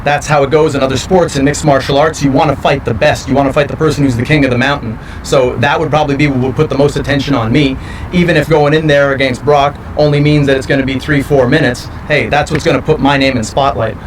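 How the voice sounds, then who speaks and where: A man's voice: mid-range voice, steady tone, quick pace, far from the mic, background noise. A male professional wrestler giving confident, boastful interview answers. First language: not French.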